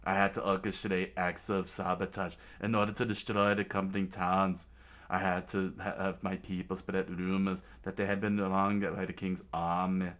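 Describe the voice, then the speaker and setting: A man's voice: sits low, a bit bright. A man voicing a smug, scheming middle-aged male villain who drawls his lines in a cold, gloating tone.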